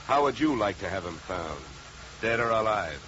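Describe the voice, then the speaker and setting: An actor playing a wry, hard-boiled insurance investigator in a 1950s American radio drama, narrating and talking in a dry, clipped, wisecracking way. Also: male voice, on the low side, animated, moderate pace, a bit bright, slight background noise. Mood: cool, probing. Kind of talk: 1950s radio detective drama, first-person narration and dialogue. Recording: dull, lo-fi 1950s radio recording.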